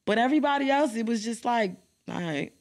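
A young woman with a New York accent and a deep, strong voice, talking bluntly in street slang as a guest in a podcast studio. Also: expressive, fairly quick. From New York City. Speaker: battle rapper guest. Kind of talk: casual interview chat. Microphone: studio mic on boom arm, headphones.

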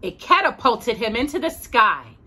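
A middle-aged woman reading a picture book aloud to a child, expressive and deliberate, stressing key words. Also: a little high, breathy edge. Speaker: host of a children's storytime. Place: small indoor room with bookshelves.

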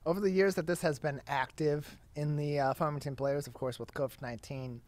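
A man's voice: a little high, steady tone, brisk, darkish tone. A young man presenting as a talk show host in a broadcast style, close on a studio microphone. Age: young adult. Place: small studio with curtain backdrop. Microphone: close studio microphone on boom arm.

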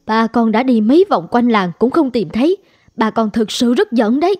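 A woman playing a caring, gently admonishing mother of young boys in a cartoon. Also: fairly high pitch, animated, normal speed, slightly rough. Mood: worried, reproachful. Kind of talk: cartoon voice acting. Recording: music under the dialogue.

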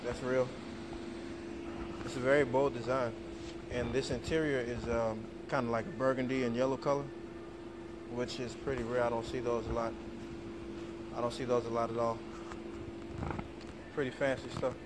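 A man's voice: medium pitch, heavy background noise. A man giving a casual walkaround of a car in a showroom, reading out the specs with plenty of fillers. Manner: flat, unhurried, reads specs aloud with fillers. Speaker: car vlogger.

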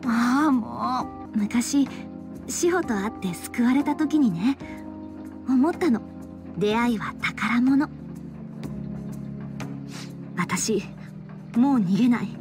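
A woman's voice: on the high side, animated, slow, long pauses, music in the background. An actress playing a sweet, earnest teenage girl in love in a game romance, intimate and girlish. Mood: tender, earnest. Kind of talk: Japanese game romance acting.

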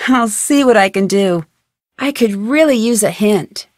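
An actress playing a curious, level-headed young female detective in an adventure game, speaking in an earnest, composed, clearly enunciated way. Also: medium pitch, animated, a little slow, darkish tone, dry recording, studio quality, natural voice. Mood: friendly, matter-of-fact. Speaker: voice actor, game protagonist. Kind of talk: scripted adventure game dialogue.

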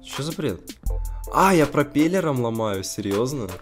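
A young man with a hoarse, slightly worn voice, chatting loosely as he plays a game with a friend. He jokes and swears freely. Quiet game music sits faintly underneath his voice. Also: medium pitch, lively intonation, moderate pace. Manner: swearing, laughing, joking. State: hoarse from a cold. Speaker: gaming channel host. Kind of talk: casual gaming chat with a friend.

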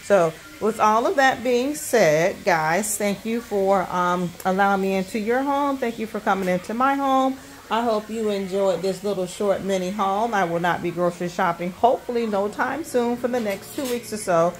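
A middle-aged woman hosting a casual grocery haul vlog at her kitchen counter, talking fast and chatty with lots of ums while filming on a handheld phone. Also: medium pitch, a little hiss or hum, rough recording. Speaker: host of a budget vlog. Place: indoors at a home kitchen counter. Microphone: handheld phone filming items.

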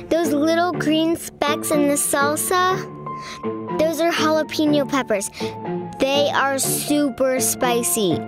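Really high voiced woman doing kids storytime, slow expressive reading, music in the background. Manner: expressive, addressing young listeners. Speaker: children's storytime reader. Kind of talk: children's picture book read-aloud.

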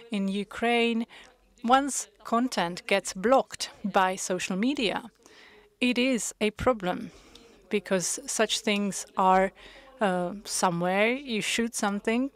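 A woman interpreting a panel discussion into English, measured and pausing with frequent hesitations. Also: medium pitch. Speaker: conference interpreter.

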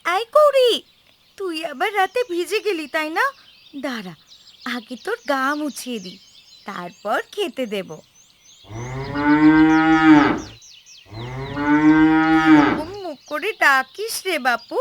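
A woman playing a doting but sharp-tongued young village wife in a cartoon, affectionate and teasing. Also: high-pitched, big pitch swings, unhurried, a little hiss or hum, heavily acted. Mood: tender.

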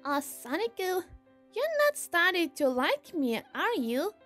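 Lovestruck young hedgehog girl played by a woman, extremely high, slow, girlish and dramatic, music underneath. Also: sing-song, full character voice. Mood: affectionate. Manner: girlish, gushing, theatrical. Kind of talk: amateur comic dub voice acting.

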